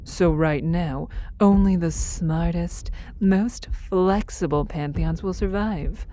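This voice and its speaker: Casting: actress as a teasing, confident goddess for audio drama; mellow, relaxed tone, measured and wry delivery. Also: female voice, on the low side, a little hiss or hum. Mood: confident, persuasive. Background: background music.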